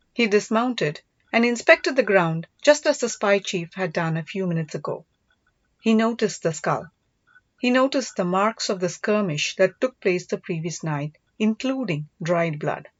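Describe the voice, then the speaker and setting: A woman's voice: mid-range voice, no echo. A woman reading a story aloud as scripted audiobook narration. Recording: dull, lo-fi, dry close recording.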